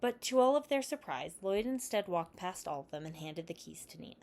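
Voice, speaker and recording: Low-pitched woman reading a story aloud. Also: expressive, fairly quick, darkish tone. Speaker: fan fiction reader. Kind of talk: scripted story narration with acted dialogue.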